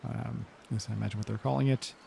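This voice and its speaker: A man's voice: medium pitch, fairly even intonation, fast, gravelly, dark tone, breathy edge. A man playing a tabletop game, chatting casually in a musing, questioning way.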